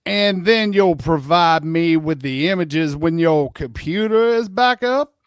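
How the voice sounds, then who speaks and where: Man acting a high-voiced, persistent deposition lawyer. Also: expressive, a little slow, smooth, no room sound, studio quality. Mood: patient, persistent. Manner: methodical, repetitive, placating questioning. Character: persistent, polite male lawyer questioning a deposition witness. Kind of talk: comic dramatic reading of a deposition.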